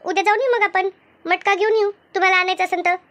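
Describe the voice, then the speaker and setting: A woman voicing a chatty, good-humoured village gossip in an animated comedy, with a rural Maharashtrian accent and exclaiming, colloquial talk. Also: very high-pitched, fast talker. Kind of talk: animated cartoon comedy dialogue.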